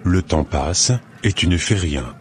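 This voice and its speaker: A man's voice: sits low, a little slow, slight background noise. A man voicing a commanding, menacing evil occult master in a cartoon. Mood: cold, impatient. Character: commanding male evil occult master scheming to destroy church members. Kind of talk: dramatic animated dialogue.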